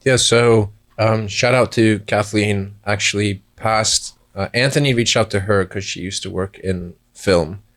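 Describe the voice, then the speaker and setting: A man's voice: lowish voice, steady tone, a little slow, few pauses, fairly bright tone. A man who worked as a camera assistant on a film, answering interview questions in a rambling way and often correcting himself. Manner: rambling, self-correcting explanations. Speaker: film crew member, first camera assistant.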